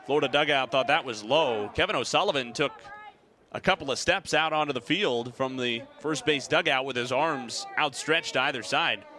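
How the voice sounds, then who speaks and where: Bright-voiced young American baseball announcer. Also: male voice, medium pitch, moderate pace. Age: young adult. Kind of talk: sports play-by-play commentary.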